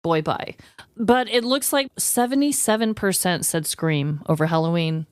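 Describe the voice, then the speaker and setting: A woman's voice: on the low side, normal speed, clean tone, no room sound, studio quality. A woman co-hosting a podcast in casual, playful banter, speaking emphatically and swearing freely. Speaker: podcast co-host.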